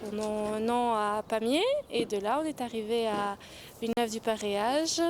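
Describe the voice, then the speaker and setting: A woman's voice: a little high, moderate pace, slight background noise. A woman interviewed about moving to a village, listing things in a hesitant way with fillers. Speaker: interviewed newcomer.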